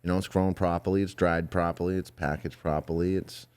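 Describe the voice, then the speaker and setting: A man's voice: low-pitched, little pitch movement, fairly quick, a little mellow, smooth. A man hosting a casual podcast, talking in a loose, rambling way. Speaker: podcast host. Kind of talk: casual chat.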